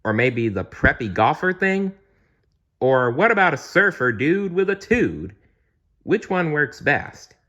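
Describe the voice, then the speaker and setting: A man voicing an excitable, sentimental, goofy genie in a theatrical, exclamatory way for a children's storybook. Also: fairly high pitch, unhurried, muffled recording, voice pushed up, full character voice. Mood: eager, showy.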